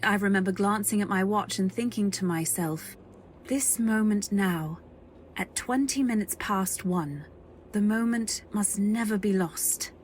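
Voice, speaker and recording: A woman's voice: mid-range voice, a little slow, a little hiss or hum, lightly acted. A woman playing a modest, earnest young companion, narrating and speaking politely and wistfully in a radio drama. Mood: nostalgic. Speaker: narrator and heroine. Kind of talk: first-person narration in old-time radio drama.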